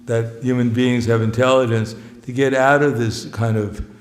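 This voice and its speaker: A man's voice: mid-range voice, steady tone, moderate pace. Chuckling man preaching in an echoey hall. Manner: joking, chuckles often between phrases; plainspoken, self-mocking. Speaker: visiting monk giving a talk. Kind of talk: informal sermon. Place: temple hall.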